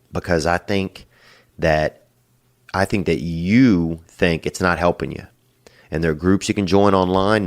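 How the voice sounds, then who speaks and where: A middle-aged man with a very low voice gives advice to callers in a loose, rambling drawl. He keeps circling back with "you know" and "boy," and his talk is casual and crude. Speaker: podcast host giving advice.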